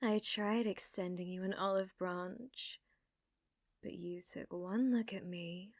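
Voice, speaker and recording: A woman playing a sadistic, haughty young noblewoman villainess in an ASMR roleplay, taunting theatrically and often dropping into breathy whispers. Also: mid-range voice, fairly even intonation, slow pace, dry recording, clean studio sound. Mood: bitter, haughty.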